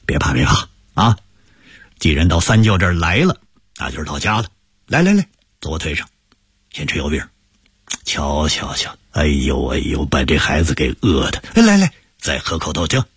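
A man voicing a kindly male shop owner who coaxes a frightened boy in a hushed, husky tone. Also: a bass voice, animated, normal speed, pauses often, no echo, studio quality, voice pushed down, exaggerated voice. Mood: soothing.

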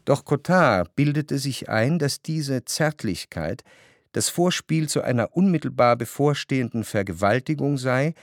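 A man narrating a literary radio play as a cultured, wryly ironic observer, measured and articulate. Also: mid-range voice, expressive, no room sound, studio quality.

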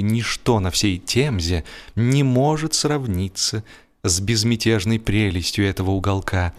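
A man narrating a humorous literary story in a theatrical, expressive manner, with emphatic swells and deliberate pauses. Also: fairly low pitch. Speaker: audiobook narrator. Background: music.

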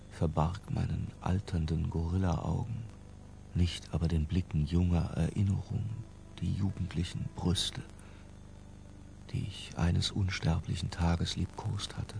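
An actor playing an obsessive, lyrical middle-aged intellectual who confesses in a hushed, deliberate literary radio drama narration. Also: male voice, low voice, steady tone, vocal fry, slight background noise, heavily acted. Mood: rapt. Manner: hushed, deliberate, breaking into near-whispers.

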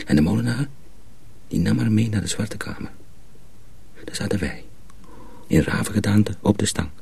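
An actor playing a cautious, secretive young miller's apprentice in a radio drama, husky and often hushed, explaining things deliberately. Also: male voice, fairly low pitch, full character voice. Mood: sombre storytelling. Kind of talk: Dutch radio drama acting.

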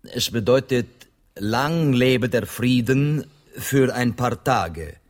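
A man acting in a radio play as a courteous, talkative Spanish gentleman who guides foreign visitors. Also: mid-range voice, lively intonation, takes it slowly. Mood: apologetic, polite. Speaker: actor.

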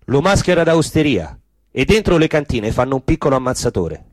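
A male narrator reads a scripted theatrical story. His voice sits at a middle pitch, in a dry space with hardly any echo. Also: big pitch swings, a little fast.

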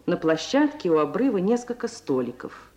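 A narrator reading scripted stage scenes for a radio drama in a measured, literary storytelling manner. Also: medium pitch, big pitch swings, a little mellow. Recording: old radio recording with faint hiss.

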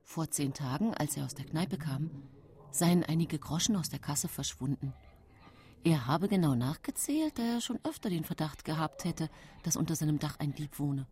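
An actress playing a seemingly pious, gentle yet secretly cold 19th-century housewife, confiding her story in a measured radio drama monologue. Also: low voice, expressive. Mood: plaintive. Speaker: actress narrating in a radio play. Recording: music bed behind studio voice.

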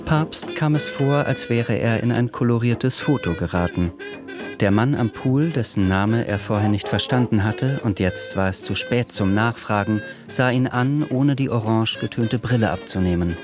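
A man's voice: lowish voice, normal speed, over music. A man reading scripted literary narration for a radio drama.

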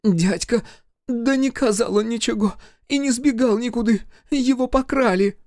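Shrill weepy young village bride, ish twang, way up high, dry sound. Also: male voice, normal speed, a little mellow, no room sound. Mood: plaintive. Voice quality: shrill, wailing.

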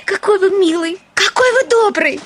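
High-pitched, willful young lady. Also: expressive, moderate pace, shouting. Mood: pleading, grateful. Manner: emphatic, theatrical, demanding. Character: willful, girlish young lady, imperious yet coaxing. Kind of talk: Russian radio drama acting.

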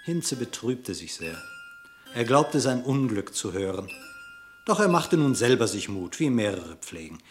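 Animated male verse narrator. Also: medium pitch, soft background music. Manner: theatrical, measured declamation. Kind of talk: expressive scripted verse narration for radio play.

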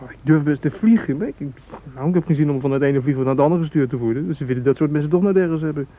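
An actor playing an uneasy train hostage in radio drama dialogue, conversational, worried and a little defensive. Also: male voice, fairly high pitch, racing, natural voice. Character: uneasy male train hostage swapping rumours. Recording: tinny, narrow-band old radio recording.

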